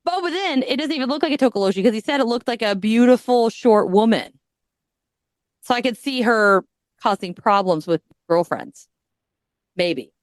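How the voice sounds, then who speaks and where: A woman's voice: fairly high pitch, animated, moderate pace, no echo. A woman hosting a podcast, retelling a story in a casual, crude, joking way and often breaking into giggles. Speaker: podcast host.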